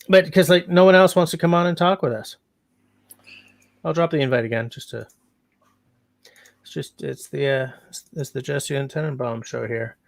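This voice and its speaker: A man's voice: on the high side, creaky, dry recording, clean studio sound. A man hosting a casual livestream, meandering as he reads out chat comments. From Canada. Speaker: livestream host.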